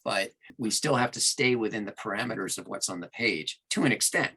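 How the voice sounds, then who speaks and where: A man with a fairly low voice and a very bright, projected edge talks as a guest in an interview. He explains his creative work expansively, leaning on key words with clear enthusiasm. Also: animated, brisk. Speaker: interview guest, audiobook narrator and producer. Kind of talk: conversational explanation of craft.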